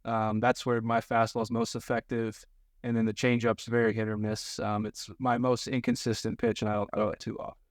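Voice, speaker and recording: A young man, a baseball pitcher, giving casual answers as a podcast guest. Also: flat delivery, brisk, dry recording, studio quality. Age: young adult. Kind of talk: casual interview chat.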